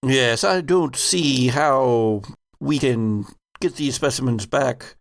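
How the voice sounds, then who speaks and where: A man voicing a fastidious lead scientist with a formal, deliberate manner in a tabletop game. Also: mid-range voice, vocal fry. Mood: concerned.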